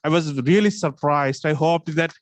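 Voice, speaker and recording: A man's voice: fairly high pitch, normal speed, background noise. A man from Bangladesh with a Bangladeshi accent, an audience member effusively thanking the director in loose English.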